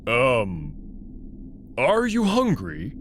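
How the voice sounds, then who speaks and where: A man voicing a friendly, clumsy male alien wolf in a visual novel, nervous and halting in his slow speech. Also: medium pitch, huge pitch swings, a little hiss or hum, heavily acted. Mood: nervous, inviting.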